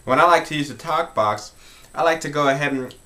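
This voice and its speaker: A man's voice: a little high, a little fast, bright, forceful tone. A man talking informally as he hosts an instructional music tutorial.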